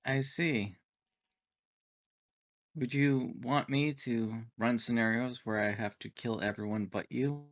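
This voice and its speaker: A man's voice: mid-range voice, fairly even intonation, takes it slowly, long pauses, dry recording, studio quality. A man playing a formal, literal-minded android crew member in a tabletop game, speaking in precise, clinical terms. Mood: earnest, literal.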